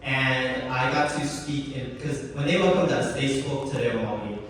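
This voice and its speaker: A man's voice: mid-range voice, measured pace, fairly bright tone, a little hiss or hum. A young man from Hawaii giving a student presentation, talking casually with many fillers and restarts. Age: young adult. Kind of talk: conference presentation. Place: large echoey hall. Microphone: distant room mic. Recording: echoey hall, voice from far off.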